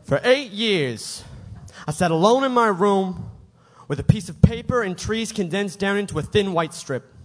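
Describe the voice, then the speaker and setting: A man performing confrontational spoken-word poetry with intense, dramatic swings between quiet and loud. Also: high voice, expressive, normal speed. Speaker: slam poet.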